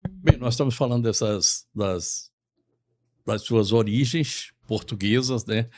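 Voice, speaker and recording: A man's voice: on the low side, measured pace. A man from Bahia hosting a talk show, chatting in a jokey, rambling way. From Bahia, Brazil. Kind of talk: casual talk show chat.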